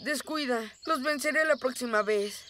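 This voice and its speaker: A woman playing a gentle, good-natured young boy bear in a cartoon dub, with a mild, hesitant, childlike manner. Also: sits high, normal speed, close to an everyday voice. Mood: drowsy, apologetic. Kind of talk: dubbed children's cartoon acting.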